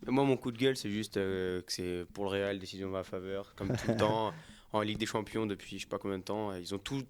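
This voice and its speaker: A man's voice: fairly low pitch, steady tone, smooth. A man co-hosting a podcast, giving opinionated takes on football matches in casual chat. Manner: quick, opinionated, venting. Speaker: podcast co-host.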